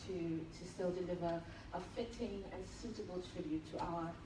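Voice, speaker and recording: A woman's voice: on the low side, fairly even intonation, normal speed. Trinidadian woman speaking in an echoey hall. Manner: warm, enthusiastic and admiring. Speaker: nurse giving a tribute speech. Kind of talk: public tribute speech. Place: large event hall. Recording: echoey hall, distant, noisy.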